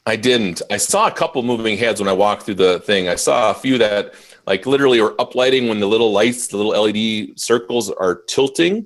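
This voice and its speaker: A mobile DJ business owner, a man, talks shop in an easygoing live interview. His voice sits fairly low. He gets animated when he retells a story and raises his voice to quote people. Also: brisk. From Minnesota, USA.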